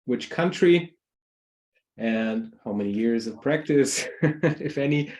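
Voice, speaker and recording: A man from Germany facilitating a video-call meeting, relaxed and hesitant with frequent ums. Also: medium pitch, takes it slowly. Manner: hesitant, many ums, light laughs. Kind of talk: casual online meeting talk.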